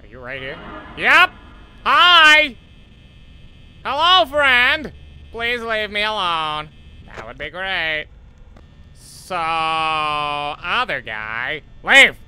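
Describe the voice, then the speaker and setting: A man's voice: sits high, very animated, drawn-out words, pauses often, bright, forceful tone, a little hiss or hum, exaggerated voice, rough recording. A man voicing an anxious, overly polite cartoon schoolteacher, nervously exclaiming and pleading as he commentates on a horror game. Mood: alarmed, loud. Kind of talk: let's play commentary in character.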